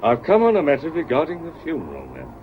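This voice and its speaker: A man's voice: a little high, huge pitch swings, fast talker. An actor playing a polite, persistent, unruffled man calling at a house, in a period radio mystery. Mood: polite, insistent. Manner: courteous, dryly firm. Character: polite, persistent, unruffled male evening caller. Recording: noisy, dull old radio recording.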